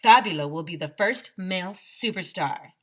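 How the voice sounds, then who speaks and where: A woman voicing a gushing, theatrical female fashion photographer with drawn-out, effusive exclamations for a children's story. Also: lowish voice, animated, fairly bright tone. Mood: starstruck, insistent.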